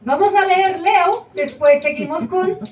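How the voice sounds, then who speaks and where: Brisk radio host with wry asides, played by an actress; recorded from afar on a small stage with faint noise. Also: female voice, very high-pitched. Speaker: radio show presenter. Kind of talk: stage acting of a radio broadcast. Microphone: far camera. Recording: echoey room from far off, faint noise.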